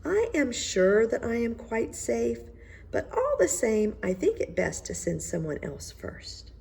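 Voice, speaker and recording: A woman's voice: on the high side, sing-song, measured pace, gravelly, a little mellow, pitched above the performer's own voice, heavily acted. A woman reading the part of a vain, fretful emperor in a storybook tale. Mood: anxious, self-assuring. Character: vain, fretful male emperor fond of finery.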